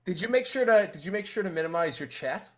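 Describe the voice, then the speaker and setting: A man's voice: high-pitched, expressive. A man in his late twenties joining a stream as a guest, chatting casually and chiming in with support. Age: late 20s. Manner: supportive, quick interjections. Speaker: co-host guest. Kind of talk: casual call-in chat.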